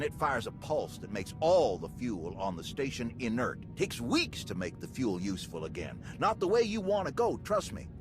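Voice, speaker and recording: An actor playing an older, folksy and plain-spoken station engineer in a video game. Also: male voice, mid-range voice, lightly acted. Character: folksy, gruff veteran male station engineer. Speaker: chief engineer. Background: music.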